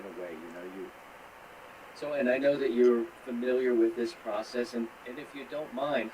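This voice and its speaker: A man's voice: mid-range voice, a little mellow. A man conducting a conversational radio interview in a hesitant, rambling, deferential way over a noisy link.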